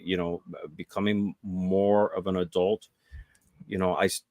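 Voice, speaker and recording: Man, 40s, earnest guest chat, lots of fillers, low voice, dry sound. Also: flat delivery, measured pace, darkish tone, dry recording. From Puerto Rico. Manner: earnest, many fillers and restarts. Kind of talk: casual interview chat.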